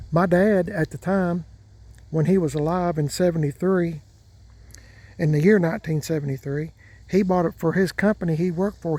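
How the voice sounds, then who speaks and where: An older man talks in a slow, folksy ramble and often repeats himself. His voice has a warm, mellow tone and sits a little high for a man. He speaks casually outdoors as the host of his own vlog. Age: 60s. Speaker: truck channel host. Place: outdoors by parked trucks.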